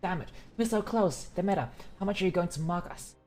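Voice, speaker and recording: A woman's voice: on the low side, brisk, darkish tone, soft background music, lightly acted. A woman voicing a brash, combative, foul-mouthed fighter from a game story, pushy in manner. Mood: angry, urgent. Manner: swearing, pushy.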